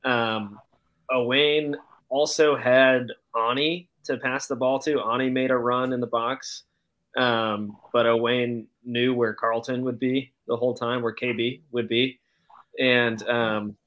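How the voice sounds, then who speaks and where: A man on a casual sports podcast call, recounting plays in a halting, appreciative way. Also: medium pitch, fairly even intonation, a bit bright, rough recording. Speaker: podcast panelist. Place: indoor room, remote video call. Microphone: video call microphone.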